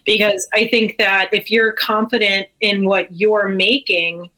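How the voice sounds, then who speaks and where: A woman's voice: mid-range voice, little pitch movement, unhurried, pushed and bright, low-quality recording. A woman speaking as a guest in a casual interview, earnest and full of conversational hedges. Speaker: guest, brewery co-owner.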